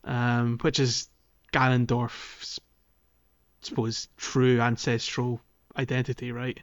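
A man's voice: mid-range voice, flat delivery, slow pace. Slightly muffled male podcast host, casual. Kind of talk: casual game discussion podcast.

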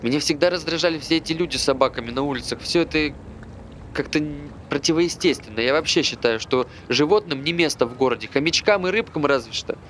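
A man's voice: on the high side, quick pace, a bit bright, a little hiss or hum, close to an everyday voice. An actor playing a bitter, aggrieved man who hates dogs, grumbling conversationally in a naturalistic stage play. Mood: grumbling, irritated.

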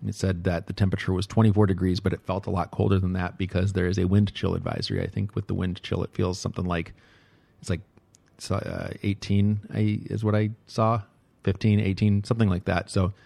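A man's voice: low-pitched, flat delivery, brisk, creaky, warm tone, no room sound. A middle-aged man recording a casual, rambling audio diary indoors on a close microphone, musing hesitantly and repeating himself in short phrases. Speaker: host of a personal audio log. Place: indoors at home.

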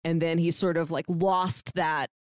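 A woman's voice: lowish voice, flat delivery, a little slow, no echo. Woman chatting casually, close dry sound. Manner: informal storytelling peppered with "like". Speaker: podcast speaker discussing books. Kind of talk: casual chat.

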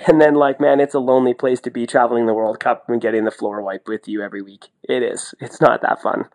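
A man's voice: mid-range voice, steady tone, fast, a little mellow. Talkative man in casual sports chat. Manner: talkative, colloquial, emphatic repetition. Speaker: podcast co-host and sports commentator, former athlete.

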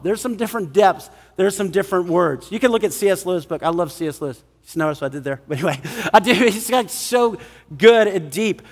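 A male pastor with a high-pitched voice preaches in a long, ringing hall reverb. His delivery is conversational and animated, acting out little quotes in everyday slang. He checks in with listeners and repeats phrases to drive them home. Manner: animated, acts out imagined quotes in casual slang; rhetorical check-ins and repetition for emphasis. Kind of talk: conversational evangelical sermon.

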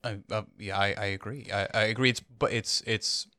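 A man in his thirties co-hosting a podcast, halting and agreeable in casual chat. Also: on the low side, fairly even intonation, sharp tone, dry recording, clean studio sound. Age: 30s. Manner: halting, repeats words, agreeable. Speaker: podcast co-host.

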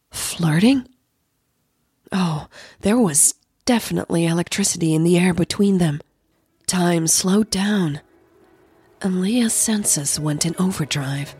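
A woman podcast host telling a story in a slow, suspenseful, intimate manner. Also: lowish voice, a little mellow, slightly rough, no echo. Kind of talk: dramatic audio story narration and podcast chat.

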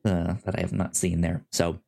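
A man co-hosting a movie podcast, talking casually with a halting, stammering delivery that often repeats words. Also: deep voice, completely flat delivery, creaky, warm tone. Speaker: podcast co-host. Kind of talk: casual chat pitching films on a movie podcast.

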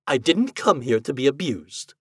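A man's voice: mid-range voice, sing-song, moderate pace, fairly bright tone, slightly rough, dry recording, clean studio sound, voice pushed up. A man voicing a haughty, tired lady ghost in a story, indignant, prim and exasperated. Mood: cold, offended. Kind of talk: storybook character voice.

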